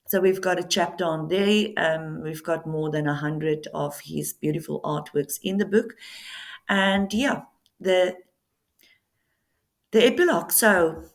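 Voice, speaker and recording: Slow, hesitant woman. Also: fairly low pitch. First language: Afrikaans. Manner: slow, hesitant, with many ums and pauses. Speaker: author presenting her book. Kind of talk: casual talk to camera. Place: Namibian coastal town.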